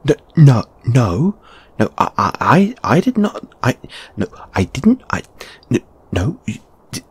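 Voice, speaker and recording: A man voicing a huffy, easily flustered male lamia flatmate in an intimate audio roleplay, halting and defensive. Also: lowish voice, breathy edge, no room sound, heavily acted. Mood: flustered denial. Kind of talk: intimate one-sided audio roleplay acting.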